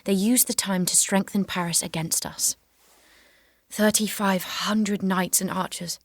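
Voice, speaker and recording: A woman's voice: mid-range voice, steady tone, a little slow. A young woman playing a determined, devout eighteen-year-old warrior maiden in an audio drama, speaking earnestly, firmly and bluntly. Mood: frustrated, testifying. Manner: earnest, firm, outspoken. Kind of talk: historical audio drama acting.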